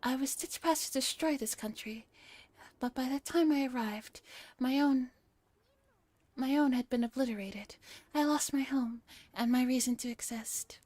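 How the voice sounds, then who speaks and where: A woman's voice: high voice, unhurried, a little mellow, no room sound. A woman voicing a clumsy, boastful young robot maid from a visual novel, making solemn, over-dramatic declarations. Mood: solemn boasting.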